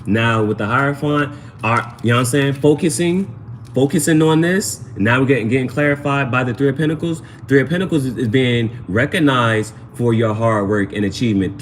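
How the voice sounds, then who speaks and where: Man giving a tarot reading over noticeable noise. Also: medium pitch. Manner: repeats phrases, slangy. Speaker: tarot reader, livestream host. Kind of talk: casual live tarot reading, talking to chat.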